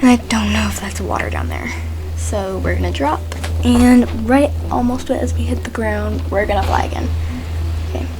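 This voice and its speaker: A woman's voice: on the high side, normal speed, low-quality recording. A woman hosting a gaming video with casual commentary, hesitant and rambling, often repeating her phrases. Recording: game music behind commentary.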